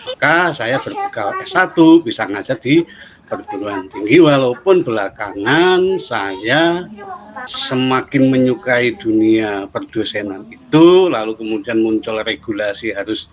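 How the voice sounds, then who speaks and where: Middle-aged guy, central javanese accent, chatting from home on a video call, laid back, lots of little eh pauses. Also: mid-range voice. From Solo, Central Java. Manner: relaxed, explanatory, with frequent "eh" pauses. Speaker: head of a university study program, guest. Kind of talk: casual interview over a video call.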